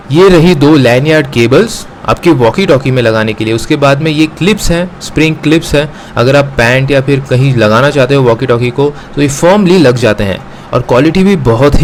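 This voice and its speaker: A man's voice: mid-range voice, lively intonation, brisk, few pauses, slight background noise. A man with an Indian accent presenting an unboxing and review to viewers, explaining step by step and slipping into English phrases. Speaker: host of a gadget review channel. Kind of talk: unboxing and product review narration, addressing viewers.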